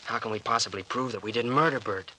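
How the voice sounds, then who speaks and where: A man's voice: mid-range voice, fast, bright, forceful tone, low-quality recording. An actor playing an earnest, protective young man in a 1940s radio drama, with boyish phrasing. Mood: worried. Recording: hissy old-time radio recording.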